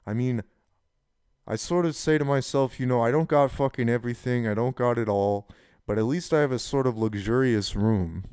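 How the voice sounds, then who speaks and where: A man in his twenties with a deep voice, rambling frankly and casually in a personal monologue, swearing now and then. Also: moderate pace, a little mellow, clean tone, dry recording, clean studio sound. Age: 20s. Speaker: channel host.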